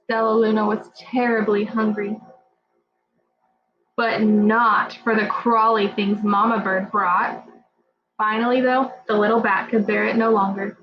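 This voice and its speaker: A woman's voice: medium pitch, little pitch movement, a little slow. A woman reading a children's picture book aloud as a storyteller. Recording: muffled audio with music. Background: music.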